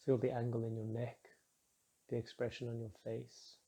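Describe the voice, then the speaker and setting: Male meditation guide, mellow and breathy-husky, measured, soothing delivery. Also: mid-range voice. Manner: calm, soothing, measured instruction with soft phrase endings.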